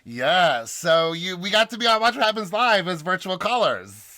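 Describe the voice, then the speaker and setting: A man's voice: sits high, sing-song, moderate pace. A man co-hosting a podcast, chatting eagerly and telling stories at length. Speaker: podcast co-host. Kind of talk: casual chat.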